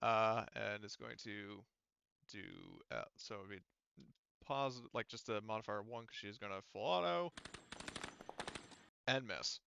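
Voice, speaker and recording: Bright, clear-voiced man running a tabletop game. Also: on the low side, measured pace, long pauses, muffled recording. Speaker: game master.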